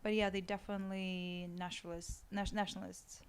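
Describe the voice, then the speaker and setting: Russian accent woman, podcast guest just chatting, normal mid pitch. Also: flat delivery, a little fast, clear, resonant tone. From Ukraine and Russia. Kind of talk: casual interview chat.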